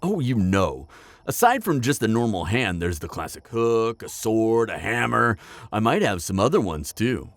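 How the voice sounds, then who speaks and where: A man playing a chatty, pragmatic necromancer in an audio roleplay, talking casually and a little haltingly straight to the listener. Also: lowish voice, big pitch swings, no room sound. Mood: chatty, amused. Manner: casual, hesitant asides, frequent chuckling. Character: chatty, upbeat, pragmatic male necromancer, former tailor. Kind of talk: conversational roleplay addressed to the listener.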